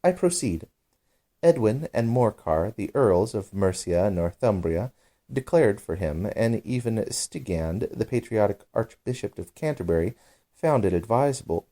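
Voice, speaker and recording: A man's voice: fairly low pitch, expressive, a little slow, dark tone. A man voicing a prim, touchy little storybook mouse who lectures in a fussy, formal manner. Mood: polite, huffy. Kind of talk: storybook character voice.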